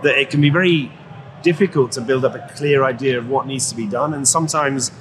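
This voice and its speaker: With clear trade show noise behind him, a man speaks as an executive guest. His pitch is average for a man, and he explains things in a conversational, expert way. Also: fairly quick. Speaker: guest, company chief executive. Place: live at an automotive trade show. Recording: noisy trade show floor. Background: clear ambient noise.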